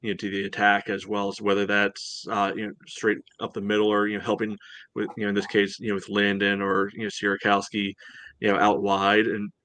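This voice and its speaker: Low, even-toned man talking sports casually. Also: a little fast, dry recording. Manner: rambling, peppered with "you know". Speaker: sports podcast co-host. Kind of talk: casual soccer match discussion.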